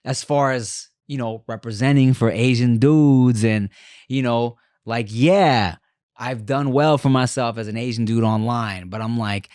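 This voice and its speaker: A man's voice: mid-range voice, measured pace, darkish tone, clear, resonant tone, dry recording, studio quality. A man in his thirties hosting a podcast in loose, profane casual chat, full of "you know" and "like" fillers. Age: 30s. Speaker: podcast host.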